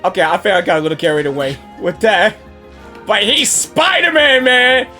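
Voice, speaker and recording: Excitable, bright-voiced woman reacting to games. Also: mid-range voice, normal speed, faint music behind. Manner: excitable, slangy, playful shouting. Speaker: gaming reaction streamer. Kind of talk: casual excitable game reaction commentary.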